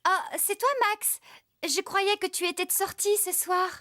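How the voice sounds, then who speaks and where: A woman playing a kindly, caring young farmer in a children's cartoon, with a gentle, encouraging and expressive manner. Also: extremely high pitch, unhurried, noisy background. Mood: playful.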